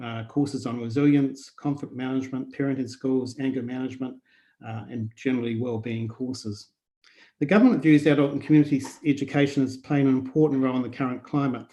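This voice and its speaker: New man, mid-pitched, measured presenter on a video call. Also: highs cut off. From New Zealand. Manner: steady, measured delivery with listed points. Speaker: conference speaker. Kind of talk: prepared conference talk.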